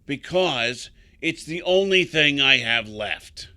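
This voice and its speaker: An actor playing a devout, weary old man in an audio drama, earnest and halting. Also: medium pitch, expressive, pushed and bright, clean tone, heavily acted. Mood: weary faith.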